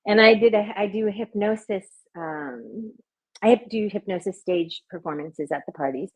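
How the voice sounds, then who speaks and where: A woman working as a hypnotist, talking in an interview with a soft, soothing voice. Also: medium pitch, moderate pace, slightly rough, muffled recording. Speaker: hypnotist and party performer. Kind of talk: conversational interview answers.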